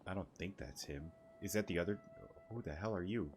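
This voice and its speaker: A man's voice: deep voice, fairly quick, a little mellow, a little hiss or hum. A man giving casual, rambling gameplay commentary as a streamer, repeating words and swearing casually. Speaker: gaming streamer. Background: game music.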